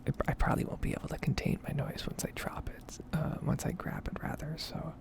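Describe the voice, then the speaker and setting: Whispering, very creaky woman, ASMR ramble. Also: low voice, little pitch movement, brisk. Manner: soft-spoken, rambling, casual asides. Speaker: gaming video creator.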